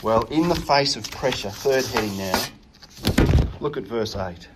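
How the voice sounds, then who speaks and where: A man preaching a sermon in an earnest, emphatic way, often pausing with hesitations. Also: mid-range voice, very noisy.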